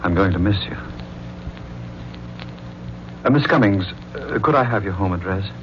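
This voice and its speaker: An actor playing a guilt-ridden, middle-aged married man with an American accent, speaking in a measured, confiding 1940s radio style. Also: deep voice, lively intonation, background noise. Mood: hesitant, tender. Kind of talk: 1940s radio mystery acting, first-person narration and dialogue. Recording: muffled vintage radio broadcast.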